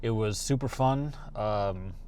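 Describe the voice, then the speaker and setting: A man's voice: fairly low pitch, slow, a little mellow, clean tone. A young man talking casually to camera as a vlogger, rambling with lots of fillers and restarts.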